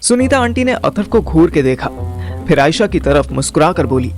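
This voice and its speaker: Animated higher-pitched male storyteller. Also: fairly quick, music in the background. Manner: colloquial, dramatic storytelling, Hindi-English slang. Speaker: narrator and host. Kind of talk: first-person audio story narration.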